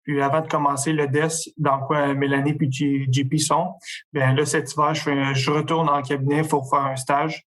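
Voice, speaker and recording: Young Québécois male guest, slightly higher voice, relaxed and steady. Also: normal speed. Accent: Québécois French. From Quebec. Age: young adult. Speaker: accounting student guest. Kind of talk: casual interview answers.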